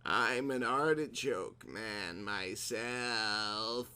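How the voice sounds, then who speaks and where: Give a very slow, low-pitched smooth operator, as a male reader acts him out. He is a confident, muscular creature from a melodramatic story, drawling suggestively with a bright edge to each word. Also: full character voice. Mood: smug.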